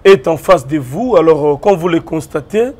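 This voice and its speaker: A male Congolese TV journalist hosting an interview, putting quick questions to his guest and greeting viewers. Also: on the high side, animated, darkish tone.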